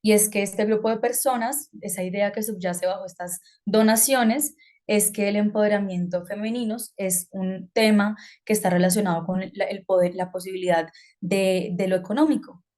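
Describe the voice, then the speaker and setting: A woman's voice: mid-range voice, fairly even intonation, moderate pace, a little mellow. A woman from Colombia giving an online talk, speaking conversationally with fillers and hesitations. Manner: conversational, hesitant with fillers, asks about slides.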